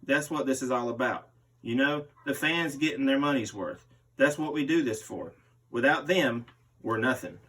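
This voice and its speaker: A man's voice: mid-range voice, normal speed, fairly bright tone, husky. A man reading a magazine interview aloud as a host, voicing the interviewee's part. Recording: distant microphone.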